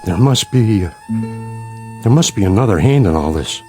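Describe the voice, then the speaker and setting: Male actor as bereaved father, halting, lowish voice, old-time radio drama, music underneath. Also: expressive, a little mellow, close to an everyday voice. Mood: awed. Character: bereaved father, hesitant, awed, yielding.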